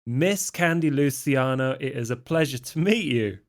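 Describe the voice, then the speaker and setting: A man's voice: medium pitch, lively intonation, moderate pace, lightly acted. A man voicing a cheeky, easygoing London hustler in a tabletop game, chummy and charming, with a London accent. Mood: delighted, flattering. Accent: London English.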